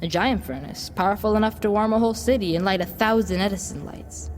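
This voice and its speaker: A woman playing a smooth-tongued devil boy in an audio drama, coaxing like a salesman and offering tempting gifts. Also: mid-range voice, fast, lightly acted. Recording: long reverb, background noise.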